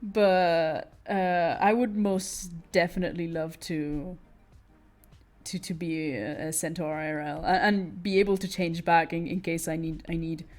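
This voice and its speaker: A woman's voice: lowish voice, fairly even intonation, measured pace, a little mellow, faint music behind. A woman with a British accent, born in Italy, chatting casually with her stream while she draws, giggling often and restarting her sentences. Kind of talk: casual chat while drawing.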